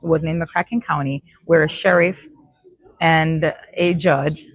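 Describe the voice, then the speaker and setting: A woman's voice: low-pitched, fairly even intonation, unhurried, no room sound. A woman hosting a casual interview, friendly and affirming. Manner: friendly, affirming, loose phrasing. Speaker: host.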